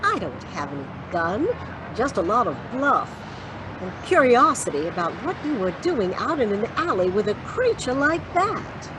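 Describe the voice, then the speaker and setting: Woman playing a sassy, animated tough gal sidekick. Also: fairly high pitch, normal speed. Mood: teasing. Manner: teasing, sarcastic. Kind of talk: hard-boiled detective radio drama. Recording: noisy track with outdoor city ambience.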